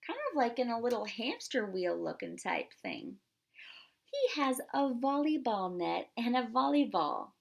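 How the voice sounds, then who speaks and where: A woman reading a picture book aloud to young children, expressively emphasizing words and pausing to explain and ask questions. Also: medium pitch, gravelly. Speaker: pre-K teacher.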